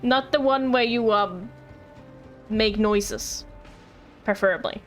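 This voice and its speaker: A woman livestreams a game with a fairly high voice and faint music behind it. She chats casually, playful and pleading, often apologizing as she goes. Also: slow pace. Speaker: streamer.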